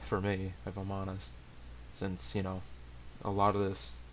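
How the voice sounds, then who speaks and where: A man rambles casually to his channel's viewers. He has a fairly low voice and speaks haltingly, often hesitant and self-deprecating. The amateur home recording carries a faint hiss. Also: monotone. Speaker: amateur story reader and channel host.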